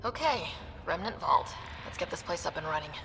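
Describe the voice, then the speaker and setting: A woman's voice: fairly low pitch, lively intonation, a little fast, creaky, in a large hall, heavy background noise. A woman playing a young space explorer leading her team in a video game, casual, wry and direct. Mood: decisive. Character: young female space explorer team leader, curious and decisive.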